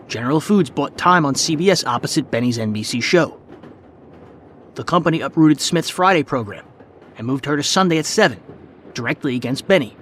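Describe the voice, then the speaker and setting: A man's voice: a little high, slight background noise. A man reading scripted documentary narration as a podcast host.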